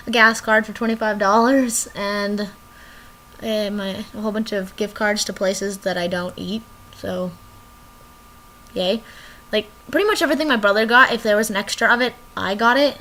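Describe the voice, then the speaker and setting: A woman's voice: medium pitch, a bit bright, a little hiss or hum, rough recording. A young woman vlogging casually from her bedroom, rambling and drifting off topic as she chats to the camera. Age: young adult.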